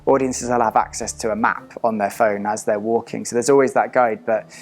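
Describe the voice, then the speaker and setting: A man's voice: lowish voice, steady tone, brisk, faint music behind. Man, theatre director explaining his work. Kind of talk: interview, explaining a creative process.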